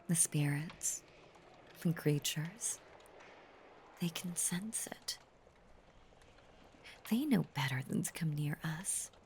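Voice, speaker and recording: A woman playing a possessive, obsessively devoted female werewolf lover in an intimate roleplay audio, breathy and whispery, with lingering pauses. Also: sits low, darkish tone, slight background noise, heavily acted. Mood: menacing, protective. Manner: slow, lingering, intimate delivery with long pauses.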